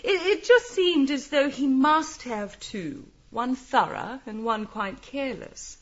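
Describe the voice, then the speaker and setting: An actress playing a sharp lady detective in a radio mystery, politely needling with chatty, digressive, mock-innocent hesitations. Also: sits high, takes it slowly, lo-fi sound. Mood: feigned innocence. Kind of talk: scripted radio mystery acting.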